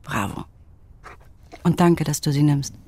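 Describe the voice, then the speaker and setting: A frank, spirited young woman in a radio play. Her voice is husky, and her delivery is wry and natural. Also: low voice, expressive, a little slow, dark tone, dry recording. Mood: wry, relaxed. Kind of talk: naturalistic German radio drama acting.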